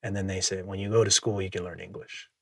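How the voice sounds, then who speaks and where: A man whose first language is Samoan, telling his story as a podcast guest in a reflective, measured way, often laughing. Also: low-pitched, flat delivery, creaky, a bit bright, no echo, studio quality. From Minnesota, USA. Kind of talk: casual conversational storytelling.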